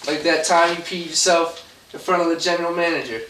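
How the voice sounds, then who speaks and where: A man's voice: fairly high pitch, steady tone, fairly quick, pushed and bright. A man playing a bitter, taunting fired worker in an amateur stage play, with a theatrical manner. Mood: mocking. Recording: echoey, distant room with noise.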